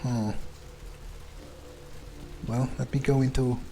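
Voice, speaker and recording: A man's voice: mid-range voice, flat delivery, slow pace, dark tone, soft background music. A man playing a game in character as a vampire, thinking aloud in a hesitant, self-interested, sweary way. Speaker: video game player narrating in character as a vampire.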